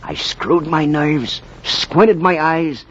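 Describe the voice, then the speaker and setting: An actor playing a slangy, folksy baseball fan who narrates theatrically in a 1940s radio drama. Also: male voice, fairly high pitch, slow pace, a bit bright, husky, exaggerated voice. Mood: wry, colorful. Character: slangy, colorful storyteller, wisecracking baseball fan. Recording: dull, faintly noisy 1940s radio recording.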